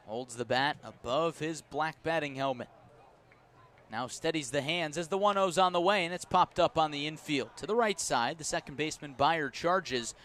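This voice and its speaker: A man calling live baseball play-by-play for radio from an outdoor ballpark, in measured, descriptive short phrases. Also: mid-range voice, a bit bright. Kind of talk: live radio sports commentary.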